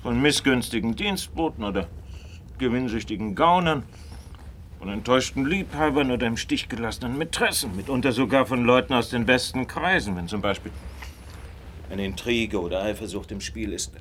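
An actor playing a suave, incisive gentleman detective in a German radio crime drama, speaking in an articulate, courteously condescending way. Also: mid-range voice, normal speed, a little hiss or hum, lightly acted. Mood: explanatory, incisive.

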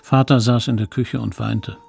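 An actor playing a middle-aged man who looks back on his childhood in a radio play, reflective, confiding and gravelly. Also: mid-range voice, fairly even intonation, normal speed, a little mellow, faint music behind. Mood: grieving, remorseful. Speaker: narrator. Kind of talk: dramatic first-person radio play narration.

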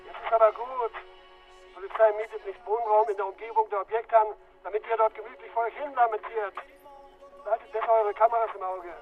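A man's voice: high voice, steady tone, fast talker, narrow, tinny audio, soft background music. An actor playing a brusque, bossy police chief who lectures his team sarcastically in a radio drama, through a thin radio-like filter. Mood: sarcastic. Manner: curt, sarcastic, instructing. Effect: radio or phone filter. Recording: thin band-limited radio-like sound.

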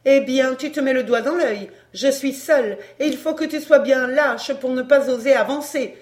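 A woman's voice: sits high, normal speed, a little mellow, voice pushed up, natural voice. A woman reading a story, voicing a brazen fairground woman who is scornful, cheeky and slangy. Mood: taunting. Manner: taunting, slangy, derisive.

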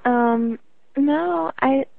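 Woman playing a creaky-voiced teenage runaway on phone. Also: high voice, drawn-out words, a little hiss or hum, heavily acted. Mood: offhand, hesitant. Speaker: prank caller. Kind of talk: phone conversation. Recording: tinny phone line.

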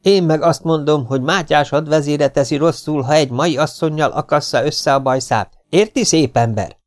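A man voicing a fiery, bossy middle-aged housewife who scolds in a shrill voice. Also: natural voice. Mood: scolding.

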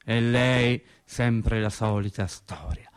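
An actor playing an unread, plainspoken factory husband, telling his story in an animated, colloquial radio-theatre monologue with hesitant repetitions. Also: medium pitch, slow, clean tone, lightly acted. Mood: wry, reminiscing.

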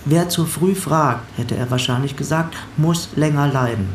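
A man's voice: on the high side, normal speed, a little hiss or hum, lightly acted. A man acting a dutiful parish helper in a radio drama, giving a meticulous, matter-of-fact witness account. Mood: earnest recounting. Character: dutiful male parish helper recounting to an investigator. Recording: roomy sound with faint background ambience.

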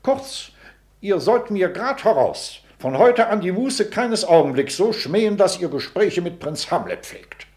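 A man's voice: high-pitched, sing-song, unhurried, a little mellow. An actor playing a pompous, scolding old courtier and father in a German radio play, speaking sententiously and theatrically. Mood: dismissive, commanding.

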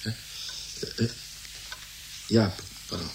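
An actor playing a courteous, troubled, weary gentleman scientist in a German radio drama, hesitant and absent-minded. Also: fairly low pitch, fairly even intonation, extremely slow, vocal fry, noisy background, exaggerated voice. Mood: distracted, uncertain.

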